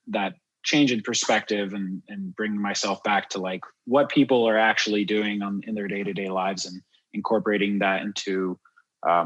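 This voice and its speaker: Low-voiced man chatting as podcast guest. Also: a bit bright, dull audio. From born in France, grew up in Miami, USA. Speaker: podcast guest, wildlife photographer and guide. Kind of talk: casual interview chat.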